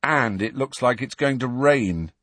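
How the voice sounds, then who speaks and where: A man's voice: medium pitch, unhurried, a bit bright, dry recording, voice pushed up. A man doing a skeptical teenage boy.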